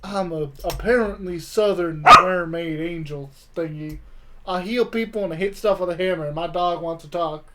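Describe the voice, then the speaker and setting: A man with a fairly high voice hosts a tabletop game stream. He chats casually, with plenty of swearing and joking. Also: moderate pace, heavy background noise. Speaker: channel host and tabletop player.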